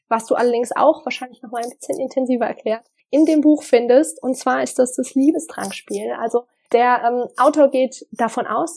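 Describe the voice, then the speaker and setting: A woman talking straight to her viewers in an earnest, reflective way, pausing often with small fillers as she shares advice on love and partnership. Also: a little high, darkish tone. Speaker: vlogger giving relationship advice. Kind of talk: casual direct-to-camera advice talk.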